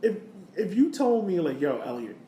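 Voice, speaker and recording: A man's voice: sits high, very animated, normal speed, dark tone. A man co-hosting a sports podcast, chatting informally and making his points with emphasis. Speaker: podcast co-host. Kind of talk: casual sports podcast chat. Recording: roomy echo, faint background noise.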